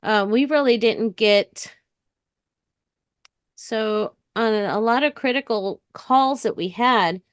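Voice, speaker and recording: American woman in relaxed interview conversation, dry sound. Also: fairly high pitch, slow pace, clean tone, no room sound. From United States. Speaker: interview guest, retired police dispatcher.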